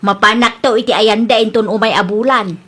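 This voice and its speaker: A woman voicing a bossy mother in a radio comedy drama, brisk and assertive. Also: medium pitch, a bit bright, close to an everyday voice. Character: sharp, bossy middle-aged mother.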